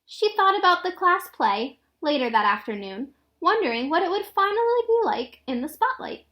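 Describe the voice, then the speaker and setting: High-pitched woman reading a children's story. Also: sing-song, moderate pace, a bit bright. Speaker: read-aloud narrator. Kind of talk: expressive children's picture book read-aloud.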